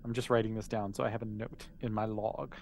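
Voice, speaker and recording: A man's voice: on the low side, little pitch movement, a little fast, darkish tone. A man running a tabletop game as game master, explaining things in a patient, conversational, improvised way. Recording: clearly noisy background.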